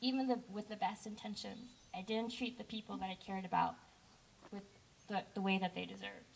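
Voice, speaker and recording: A woman's voice: mid-range voice, level tone, brisk, gravelly, recorded from a distance, a little hiss or hum, poor audio. Earnest young woman giving a speech. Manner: heartfelt, earnest, with brief self-corrections. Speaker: camp director giving a speech. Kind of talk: personal speech to campers.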